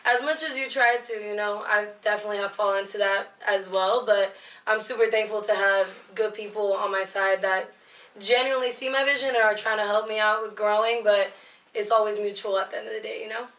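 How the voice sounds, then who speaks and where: A young woman from Virginia who grew up speaking both Spanish and English. She is a hip hop and R&B artist talking casually at a lounge venue, in a relaxed and upbeat way with plenty of slang. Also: mid-range voice, brisk, recorded from a distance. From Alexandria, Virginia. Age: young adult. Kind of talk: casual interview. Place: busy lounge venue before a show. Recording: roomy venue sound, from off-mic.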